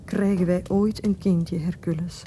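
A woman acting in a radio drama, playing a devoted dwarf noblewoman, a loving wife and mother, in a tender, expressive way. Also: fairly low pitch, measured pace, a little hiss or hum, lightly acted. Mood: earnest.

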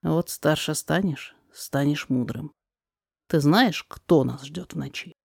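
Woman as a wise male sorcerer, calm, hushed, measured, cautious, rhymed audio drama, music underneath. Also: low-pitched, gravelly, husky, dry recording, studio quality, voice pushed down, exaggerated voice. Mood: wise, musing. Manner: measured, quiet, deliberate.

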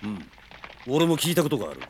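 A man playing a rough, wary, seasoned mercenary in an audio drama, blunt and gruff in casual speech. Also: medium pitch, sing-song, quick pace, a little hiss or hum, lightly acted. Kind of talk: Japanese audio drama acting.

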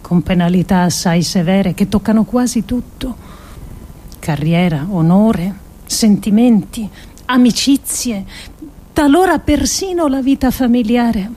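A woman performing a scripted dramatic monologue for radio in a deliberate, measured way with pointed pauses. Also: mid-range voice, lively intonation, mellow. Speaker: actress reading an adapted essay.

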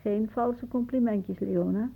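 Musing old woman, wry, theatrical, husky, radio drama, muffled narrow-band recording. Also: on the high side, a little slow, heavily acted. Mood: insistent. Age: older. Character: wry, imaginative old aunt musing in her garden. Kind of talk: Dutch radio play acting.

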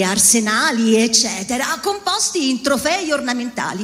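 High, animated woman reading dramatically in a hall. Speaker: theatre performer reading a political text. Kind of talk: dramatic theatrical reading of literary prose. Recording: reverberant hall.

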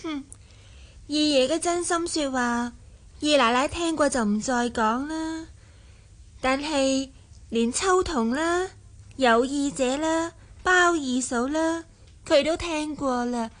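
An actress playing a gentle, loyal young household woman in a Cantonese radio drama, composed and mildly reproachful. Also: high voice, slow. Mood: teasing, skeptical.

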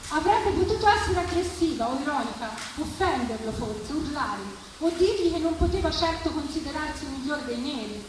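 A woman giving an expressive, theatrical reading of literary prose at a live event. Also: high voice, moderate pace, hardly any pauses. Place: live public reading at a festival, distant mic. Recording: echoey, distant, noisy live event recording.

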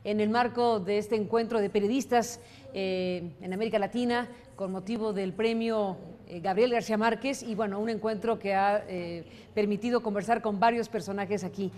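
A woman's voice: medium pitch, steady tone, normal speed, a little mellow. A woman hosting an interview, welcoming her guest formally and asking direct questions. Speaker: interviewer host.

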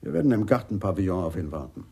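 An actor playing a skeptical, wry senior police detective in a German radio play. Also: male voice, deep voice, very animated, fast talker, creaky, full character voice. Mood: composed, courteous.

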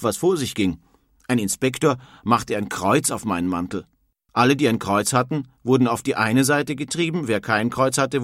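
A man's voice: medium pitch, no echo, clean studio sound, close to an everyday voice. A man playing a matter-of-fact male emigrant. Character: male emigrant recounting arrival. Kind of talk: documentary radio play reading.

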